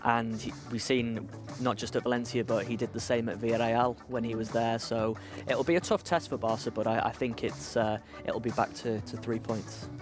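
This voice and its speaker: A young man with a northern English accent talks football as a co-host in an easy, unscripted way. He restarts his sentences and repeats words while he finds his point. Music plays clearly underneath. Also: mid-range voice, fairly even intonation, moderate pace, hardly any pauses. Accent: northern English (Cheshire). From England. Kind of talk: casual football chat.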